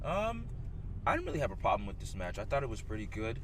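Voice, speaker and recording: A man's voice: fairly low pitch, huge pitch swings, quick pace. A man co-hosting a casual wrestling reaction chat outdoors, rambling with many fillers. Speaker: co-host. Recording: distant mic outdoors with loud noise.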